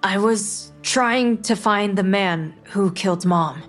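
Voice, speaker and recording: A woman's voice: mid-range voice, slow pace, faint music behind, natural voice. A woman playing an anxious, grieving young son in an audio drama, reluctant and guarded.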